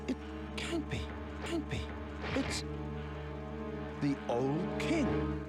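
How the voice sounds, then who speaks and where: A man playing a dignified, kindly father and ruler in a children's cartoon, speaking earnestly in measured, formal phrases. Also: a little high, huge pitch swings, exaggerated voice. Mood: shaken disbelief. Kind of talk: animated series voice acting. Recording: music under the voice.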